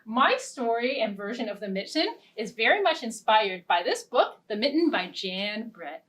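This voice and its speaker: A woman librarian leading a children's storytime, singing simple repeated verses and reading a picture-book story in a gentle, expressive way. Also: a little high, moderate pace, recorded from a distance, rough recording. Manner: gentle, sing-song and expressive. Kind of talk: children's storytime with songs and ukulele.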